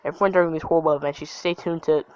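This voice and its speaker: A local television reporter in a disaster drama, acted by an amateur. The voice sits at a middling pitch. The sound is dull and lo-fi. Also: fairly even intonation, rapid-fire, a little mellow, no echo, close to an everyday voice. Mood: urgent, grave. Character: TV news reporter covering an outbreak, turning terrified. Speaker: news reporter. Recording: dull lo-fi audio.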